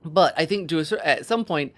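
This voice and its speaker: A man's voice: on the high side, fairly quick, a bit bright. Man thinking aloud in casual discussion. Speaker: podcast co-host.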